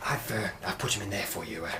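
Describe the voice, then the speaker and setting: An actor playing a deferential railway guard in a period radio drama, hesitant, apologetic and eager to get away. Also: male voice, deep voice, fast talker, noisy background, lightly acted. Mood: deferential, hurried. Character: deferential, hurried male railway guard bringing a patient.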